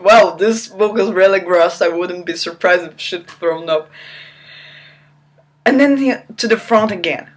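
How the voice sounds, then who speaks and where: A woman giving a theatrical, mocking dramatic reading of a novel, with incredulous asides. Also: medium pitch. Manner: theatrical, incredulous, breaks off into laughing commentary. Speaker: reader.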